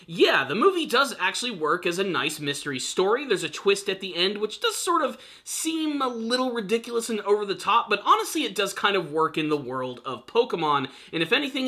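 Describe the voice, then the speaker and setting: Animated, bright-voiced man reviewing. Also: high-pitched. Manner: enthusiastic, emphatic. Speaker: film reviewer, channel host. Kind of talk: video review commentary to viewers.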